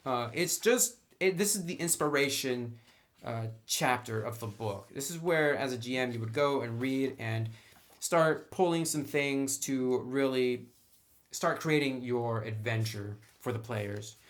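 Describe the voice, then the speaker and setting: Male reviewer, medium pitch, informal and conversational delivery, freely giving opinions. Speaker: tabletop game book reviewer. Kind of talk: casual review commentary.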